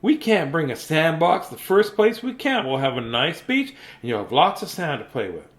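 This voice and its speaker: A man's voice: a little high, big pitch swings, moderate pace, a bit bright. A man voicing a reasoning mother from a picture book. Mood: firm, reassuring.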